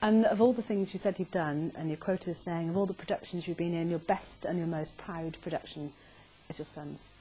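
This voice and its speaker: A woman's voice: lowish voice, fairly quick, mellow, husky, rough recording. A woman interviewer chatting conversationally as she hosts a live on-stage interview before an audience.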